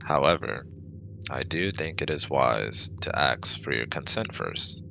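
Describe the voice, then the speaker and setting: A man's voice: rumbling low, lively intonation, a little slow, gravelly, background noise, exaggerated voice. A man voicing a formal, cautious but kind male alien with precise, stiff wording in a sci-fi ASMR roleplay. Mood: formal, reassuring.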